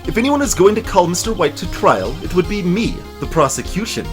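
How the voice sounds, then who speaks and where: A man's voice: high voice, big pitch swings, a little fast. A man voicing a smug, haughty prosecutor in a courtroom game, with a formal, pompous manner. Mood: insistent. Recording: music playing behind the voice.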